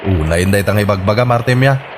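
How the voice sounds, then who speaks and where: A man's voice: fairly low pitch, a little fast, background noise, lightly acted. A man playing a plain-spoken, mocking village neighbour in an Ilocano comedy drama, with an Ilocano accent. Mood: teasing.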